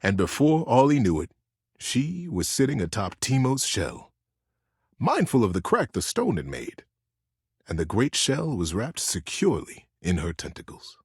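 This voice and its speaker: A man voicing a gentle, earnest male teddy bear in a video game, telling bedtime stories in a soothing, expressive way with dramatic pauses. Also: lowish voice, slightly rough, no room sound, studio quality, full character voice. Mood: tender narrating.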